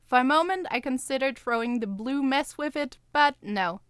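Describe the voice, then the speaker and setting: Bright, higher-pitched woman podcaster. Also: normal speed, clean tone, dry recording, studio quality. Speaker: podcast co-host and co-writer. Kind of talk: casual chat and dramatic reading of a first-person story.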